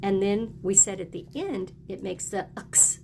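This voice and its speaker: A woman's voice: mid-range voice, a little slow, gravelly, music in the background. A woman teaching young children, encouraging them in short, simple phrases as she reads aloud and explains. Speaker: early elementary teacher.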